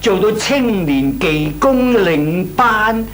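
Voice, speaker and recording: A man playing a patient older uncle in an old Hong Kong Cantonese radio comedy, explaining and chiding in a deliberate way. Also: sits high, lively intonation. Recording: old radio recording with hiss and hum.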